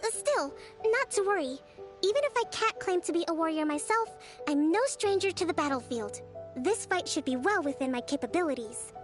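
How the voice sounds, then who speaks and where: A composed young strategist explains her plans in a high, gentle voice. She speaks in a measured, analytical, softly spoken way. Faint game music plays under her. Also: animated. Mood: calm. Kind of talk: game dialogue.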